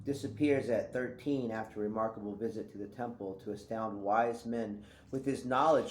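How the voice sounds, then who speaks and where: A middle-aged man from Thailand, reading his own book aloud in a conversational way and letting his voice drop quietly at the ends of phrases. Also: on the low side, reverberant, rough recording. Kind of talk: reflective audiobook-style reading.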